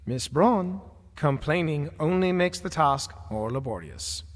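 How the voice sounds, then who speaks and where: Echoing in a long hall, a male actor plays a prim, exacting, bookish agent. His voice is fairly high and animated, with formal, dryly humorous delivery suited to audio drama. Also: a little slow. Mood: prim reproof. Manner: formal, precise, dry. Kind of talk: full-cast audio drama acting. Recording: long reverberant hall echo.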